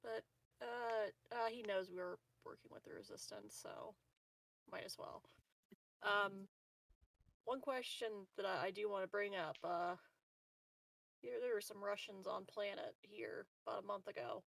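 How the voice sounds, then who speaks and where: A man's voice: high-pitched, fairly even intonation, a little slow, creaky, long pauses, a bit bright, no room sound, rough recording. A man chatting casually as a player in a tabletop game, hesitant, joking and sweary.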